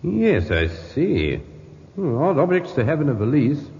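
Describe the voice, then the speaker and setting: An actor playing a musing, observant police inspector in a 1950s radio drama. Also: male voice, fairly high pitch, wildly swooping pitch, unhurried, a little mellow, heavily acted. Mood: puzzled. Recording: muffled old radio recording, long reverb.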